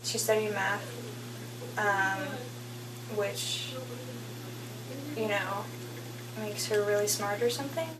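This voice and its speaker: A woman's voice: medium pitch, steady tone, slow pace, pauses often, a crowd in the background. Chatty young woman from Utah. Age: 20s. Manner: chatty, filler-heavy, teasing. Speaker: roommate. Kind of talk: casual chat to camera. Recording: echoey room, distant mic, faint hum.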